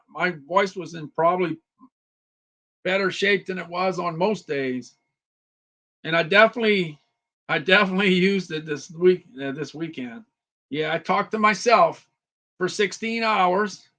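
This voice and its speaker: High, bright-voiced man chatting repetitively. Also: a little slow. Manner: repetitive, chatty, talks to viewers by name. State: slightly worn voice. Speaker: livestream host reviewing deals. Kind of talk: casual live product chat.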